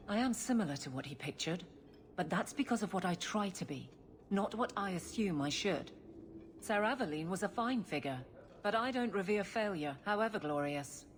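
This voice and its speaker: A woman's voice: lowish voice, expressive, moderate pace, natural voice. A woman playing a stern, practical warrior in a video game, guarded, blunt and earnest. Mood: frustrated, earnest. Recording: faint background ambience noise.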